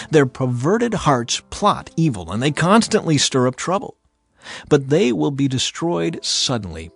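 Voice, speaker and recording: Male narrator for dramatized scripture audiobook, mid-pitched, highly varied intonation, theatrical exhorting delivery, faint music underneath.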